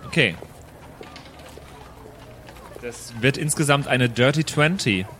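A man's voice: medium pitch, lively intonation, takes it slowly, sharp tone, slight background noise. A man playing an improvised tabletop game, chatting casually and explaining things in rambling detail. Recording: music under the voice. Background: music.

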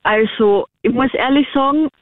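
A woman's voice: sits high, moderate pace, dry recording. A young woman, talking earnestly and a little hesitantly in a casual chat. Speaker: guest. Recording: dry tinny phone-like sound.

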